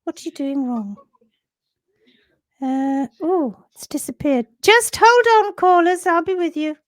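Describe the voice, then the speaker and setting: A woman hosting a livestream about horse hoof care, chatty and teaching. She has a sore throat and a croaky voice, and she mutters to herself while she fumbles with her screen. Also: very high-pitched, unhurried, no room sound. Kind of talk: casual livestream talk and teaching. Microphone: computer mic on a video call.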